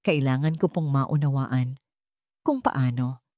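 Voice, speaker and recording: Woman voicing a low, soft-spoken billionaire gentleman. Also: slow, no echo, studio quality. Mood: soft, grateful. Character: powerful male billionaire, softened and grateful. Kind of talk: audio story dialogue.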